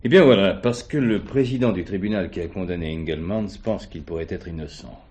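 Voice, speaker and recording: Actor as a very low-voiced police commissioner. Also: male voice, a little fast, a little mellow, close to an everyday voice. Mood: candid, measured. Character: unhurried, courteous male police commissioner investigating an old case. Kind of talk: French stage or TV play acting.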